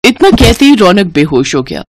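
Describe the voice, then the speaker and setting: A woman narrating a scripted moral story in an expressive storytelling manner. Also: medium pitch, moderate pace, breathy edge.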